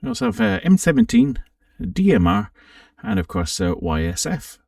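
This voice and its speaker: A man hosting a casual, informal software walkthrough for an amateur radio channel, thinking aloud a little hesitantly as he goes. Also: on the high side, huge pitch swings, moderate pace, vocal fry, studio quality. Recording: roomy, distant microphone.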